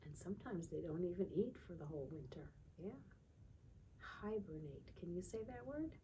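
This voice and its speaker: A woman teacher reading aloud to young children in a sing-song way, pausing to ask simple check-in questions. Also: fairly low pitch, expressive, warm tone, a little hiss or hum, poor audio. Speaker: children's teacher and storytime reader.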